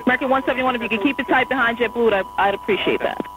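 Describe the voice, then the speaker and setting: A woman air traffic controller in an airport tower, giving quick, polite instructions to pilots over the radio. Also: a little high. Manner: brisk, polite and apologetic. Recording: noisy radio transmission with hum.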